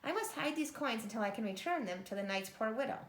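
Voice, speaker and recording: A woman's voice: a little high, expressive, fast, echoey room, lightly acted. Woman playing brave storybook girl Kate, emphatic. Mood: relieved.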